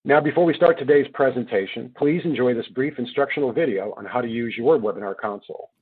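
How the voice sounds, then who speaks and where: A male webinar moderator reads out introductions in a steady, formal way. His voice is a little husky and comes through a thin, phone-like line. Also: mid-range voice. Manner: measured, formal reading. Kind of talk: read introductions.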